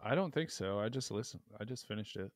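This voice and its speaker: A man's voice: on the low side, expressive, fast talker, dry recording. Man, podcast co-host, loose jokey banter, dead-dry sound. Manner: jokey, rambling, drops to mumbles. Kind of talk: casual chat.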